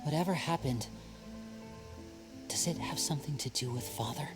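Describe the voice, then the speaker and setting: An actor playing a confident, charming young stage magician who questions his younger brother in a smooth, probing, gently teasing way. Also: a little high, moderate pace, natural voice. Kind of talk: video game voice acting. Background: game music.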